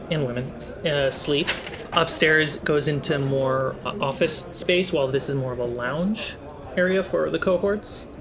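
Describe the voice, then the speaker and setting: A man narrating a tabletop role-playing game as game master, describing scenes in a slow, measured way with occasional fillers. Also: fairly high pitch.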